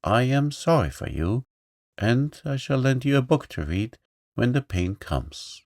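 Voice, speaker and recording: A man's voice: mid-range voice, very animated, measured pace, a little mellow, dry recording, studio quality, pitched above the performer's own voice. A man reading aloud, voicing an impetuous, bossy little girl who talks frankly and eagerly.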